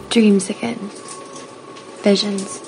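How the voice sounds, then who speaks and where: Scared haunted woman in a horror film, slow and halting, some vocal fry, music under it. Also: mid-range voice, little pitch movement, darkish tone, rough recording. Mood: haunted, uneasy. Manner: halting, repeats words. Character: frightened young woman haunted by nightmares, confiding.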